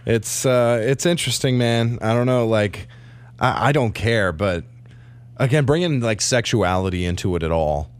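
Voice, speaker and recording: A man's voice: mid-range voice, moderate pace, clean tone. A man in a casual podcast chat, joking and laughing through his lines, with lots of "you know".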